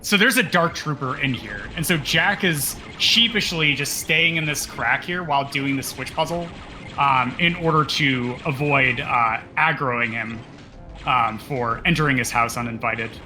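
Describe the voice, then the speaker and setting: A man's voice: mid-range voice, a little slow, sharp tone, soft background music, poor audio. A man co-commentating a live speedrun, explaining the game in a casual way.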